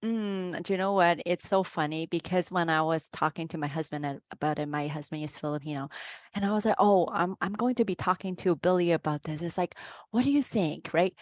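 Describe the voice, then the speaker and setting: A woman's voice: fairly low pitch, fairly quick, no echo. A middle-aged woman from Hong Kong, a life coach hosting a casual interview over a video call, chatty with many fillers and restarts.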